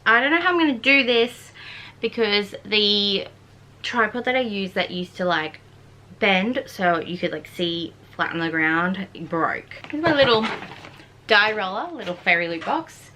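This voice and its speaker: An Australian woman in her twenties, chatting casually and informally in an excitable way, like a book vlogger. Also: medium pitch, unhurried. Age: 20s. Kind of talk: casual chatty vlog talk.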